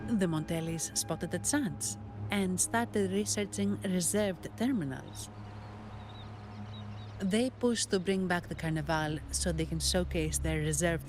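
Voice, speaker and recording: An actress playing a composed, dutiful hired guard and guide in a video game, speaking in a measured, formal, businesslike way. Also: female voice, lowish voice, lightly acted. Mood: informative. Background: background music.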